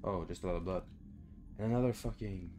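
A man's voice: low voice, fairly quick, warm tone, faint music behind. A young man doing casual horror game commentary, muttering to himself in short phrases. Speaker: gamer, let's play host. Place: small room at home.

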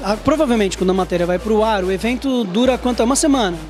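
A man's voice: sits high, fast. A Brazilian man in his thirties hosting a TV interview on a handheld microphone, talking in an informal, emphatic, conversational way. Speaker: TV show host. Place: trade fair stand indoors. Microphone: handheld reporter microphone. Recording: music behind the voice. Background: prominent music.

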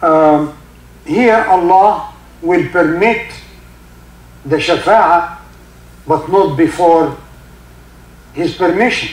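An older man with a heavy Egyptian accent, a native Arabic speaker, giving an informal religious talk in halting English with many fillers and recited Arabic verses. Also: fairly high pitch, roomy sound, rough recording. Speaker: religious teacher. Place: indoor room with carpeted seating.

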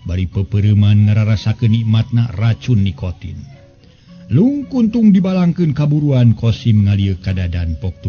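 A man with a Sundanese accent telling a serial folk tale as a dramatic storyteller. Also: fairly low pitch. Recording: slightly dull sound, music bed. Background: music.

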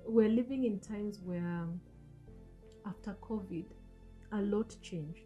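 Earnest woman with a dark, mellow voice. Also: medium pitch, slow, faint music behind. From Africa. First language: an African language. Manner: earnest, coaching, asks the listener rhetorical questions. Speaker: host. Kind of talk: motivational talk. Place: small indoor room, seated on a sofa. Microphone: studio mic on a stand in front of her.

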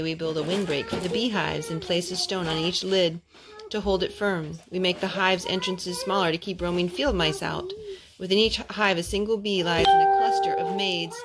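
A young woman reading a children's picture book aloud at home, phrase by phrase in a measured, careful way. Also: on the low side. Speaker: storytime host reading to her children. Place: carpeted room at home. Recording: clear background noise and clicks, homemade.

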